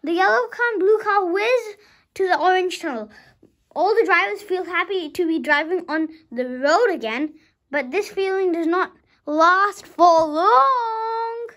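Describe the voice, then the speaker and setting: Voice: very high voice, bright, forceful tone. A young child of about five reading a storybook aloud dramatically, sometimes stumbling over words and rereading them.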